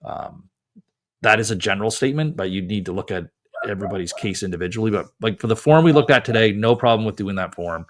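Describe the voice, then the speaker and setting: A man's voice: on the low side, lively intonation, fast talker. A man hosting a live call-in show as a lawyer, giving advice in a casual, conversational way. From Canada. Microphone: close mic, wearing headphones.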